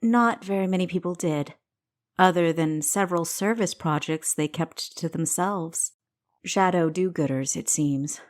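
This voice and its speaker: A woman's voice: fairly low pitch, unhurried, lightly acted. Woman reading as a caring lady doctor, sad and earnest, audiobook character. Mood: sad, concerned. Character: anxious, compassionate woman doctor mourning a tragedy.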